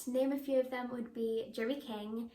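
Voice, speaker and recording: A teenage girl talks about her acting work, bubbly and eager. Her voice sits high. The room around her adds a noticeable echo. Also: fairly even intonation, moderate pace, dark tone. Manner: bubbly, enthusiastic, with frequent laughs. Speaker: young actress. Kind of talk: answering interview questions to camera. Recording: echoey room.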